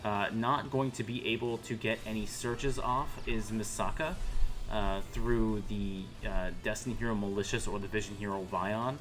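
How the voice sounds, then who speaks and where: Low, bright-toned young male caster. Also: fairly even intonation, moderate pace. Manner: joking, teasing, mock-dramatic asides. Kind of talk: casual game commentary.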